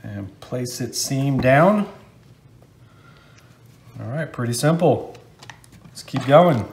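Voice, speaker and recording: Middle-aged American male, mid-pitched voice, casual cooking host, recorded in a reverberant home kitchen. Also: expressive, slow, darkish tone, a little hiss or hum. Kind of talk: casual how-to cooking narration. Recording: echoey kitchen room.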